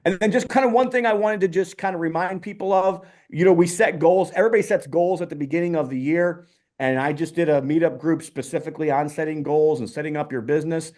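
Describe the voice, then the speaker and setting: A man's voice: a little high, fast, a little mellow. A man hosting a casual online community call, upbeat, with many ums and restarts.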